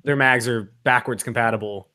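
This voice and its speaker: A man chatting casually on a podcast, opinionated and quick to laugh. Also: mid-range voice, fairly even intonation, pushed and bright. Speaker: podcast co-host. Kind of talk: casual chat about firearms.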